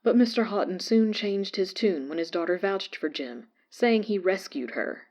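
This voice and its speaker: A woman playing a radio newscaster who reads a disaster report in a measured, formal way. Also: mid-range voice, expressive, darkish tone. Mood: sober, formal. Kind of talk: scripted news report in audio drama.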